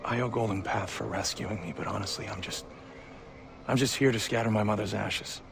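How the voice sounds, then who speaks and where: An actor playing a reserved, polite but quietly determined young newcomer in a video game, understated and plain-spoken. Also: male voice, fairly low pitch, steady tone, brisk, gravelly, background noise. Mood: hesitant, polite. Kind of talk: video game cutscene acting. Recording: loud music behind the voice.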